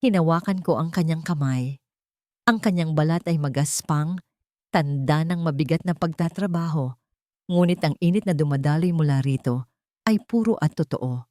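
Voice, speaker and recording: A woman's voice: deep voice, moderate pace, warm tone, no room sound, studio quality. A woman reading a dramatic first-person story as a narrator, in a solemn, emotive storytelling manner.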